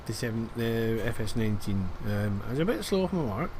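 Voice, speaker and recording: A man's voice: fairly low pitch, sing-song, a little fast, background noise. Rambling man narrating gameplay. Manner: rambling, self-deprecating, many fillers. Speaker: gaming video creator. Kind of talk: casual gameplay commentary.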